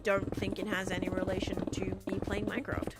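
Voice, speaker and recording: A woman's voice: mid-range voice, little pitch movement, brisk. A woman chatting casually with viewers during a gaming live stream, thinking aloud in an informal way as she plays. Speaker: live streamer playing a video game. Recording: music behind the voice. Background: background music.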